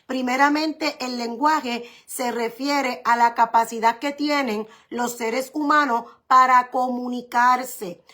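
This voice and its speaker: A woman pastor giving a didactic sermon, explaining things deliberately and repeating key points for emphasis. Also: on the high side, fairly even intonation, bright, forceful tone.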